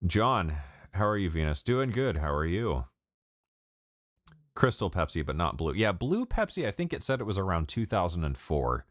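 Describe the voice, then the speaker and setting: A man's voice: sits low, very animated, moderate pace, dry recording, studio quality. A man in his late twenties chatting casually with viewers on a live stream, rambling, swearing and laughing a lot. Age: 20s. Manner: rambling, profane, laughs often. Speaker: music producer streaming live. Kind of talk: casual livestream chat with viewers.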